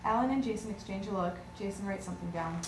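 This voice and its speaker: A woman's voice: mid-range voice, fast talker. A woman reading out stage directions as scripted narration at a table read. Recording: echoey room with clear noise.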